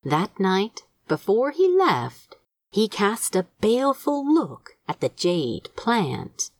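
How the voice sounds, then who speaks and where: A woman narrating a scripted piece of fiction in an expressive storytelling manner. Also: medium pitch, slow, dry recording.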